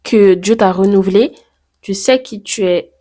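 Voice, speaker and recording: A woman's voice: mid-range voice, measured pace, warm tone. A teenage girl from France, talking to her camera in a personal vlog and thinking aloud with many fillers and rhetorical questions. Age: teens. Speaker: YouTuber.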